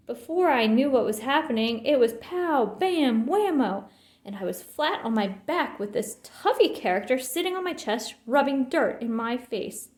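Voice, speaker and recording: A woman's voice: sits high, animated, normal speed, darkish tone, reverberant. A woman voicing an aggrieved little girl bear cub in a storybook, indignant and emphatic.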